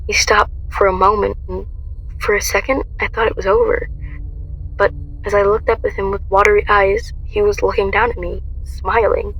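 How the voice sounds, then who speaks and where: Female narrator actor, radio drama: young heroine, intense, sharp creaky voice, narrow intonation, faint ambient noise. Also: mid-range voice, flat delivery, normal speed, lightly acted. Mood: grim recollection. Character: young woman heroine recounting her ordeal, intense. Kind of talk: scripted first-person dramatic narration.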